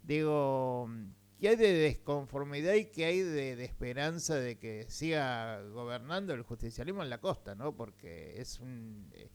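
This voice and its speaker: An Argentine man hosts a radio interview in a smooth, resonant voice. He asks his questions in long, meandering stretches, full of hesitations and tag questions. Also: medium pitch, lively intonation, unhurried. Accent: Argentine Spanish. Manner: rambling questions with many fillers and tag questions. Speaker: radio host.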